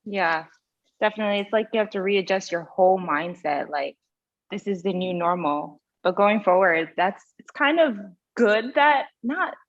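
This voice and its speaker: A woman hosting a casual online panel and putting quick questions to the guests. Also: medium pitch, animated. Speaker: host. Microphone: video call. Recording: slightly dull video call audio.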